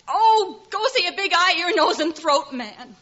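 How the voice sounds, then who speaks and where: Feisty small-town girl-next-door, bright and swooping, sassy, old 1940s radio recording with limited band. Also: very high voice, lively intonation, measured pace, highs cut off, heavily acted. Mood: exasperated, teasing. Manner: sassy, pert. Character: feisty, teasing small-town young woman.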